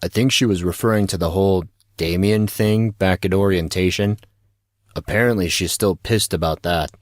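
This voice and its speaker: Man playing a deep-voiced flippant teenage schoolboy. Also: normal speed, dry recording, studio quality, natural voice. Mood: flippant, casual. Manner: casual, slangy, flippant. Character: laid-back, gossipy, wisecracking teenage schoolboy. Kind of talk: audio drama acting.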